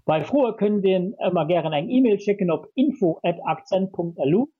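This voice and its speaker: A man's voice: high voice, lively intonation, moderate pace, narrow, tinny audio. A man with a Luxembourgish accent, a guest on a radio program, explaining his work in a hesitant way with many fillers. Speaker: guest from a communication center. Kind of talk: radio interview answers.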